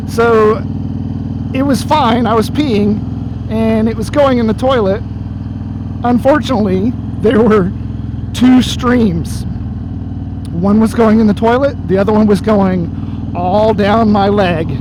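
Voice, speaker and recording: A man telling an embarrassing story in a casual, rambling way while riding, his voice going hoarse. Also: sits high. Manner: rambling, self-deprecating, easily startled by dogs. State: losing his voice. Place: outdoors on a motorcycle on the road. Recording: road and engine noise.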